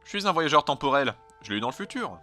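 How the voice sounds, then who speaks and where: A man's voice: medium pitch, sing-song, fast, pushed and bright. A man voicing a grave, eccentric stranded time traveler in an amateur fandub, explaining things earnestly and dramatically. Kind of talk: amateur French fandub acting. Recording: home dub over background music.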